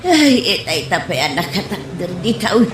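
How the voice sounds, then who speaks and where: A woman playing a fretful, apologetic elderly mother in a radio comedy drama, with an Ilocano accent and plaintive, drawn-out exclamations. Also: on the low side, very animated, slight background noise. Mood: fretful, concerned. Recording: echoey room sound.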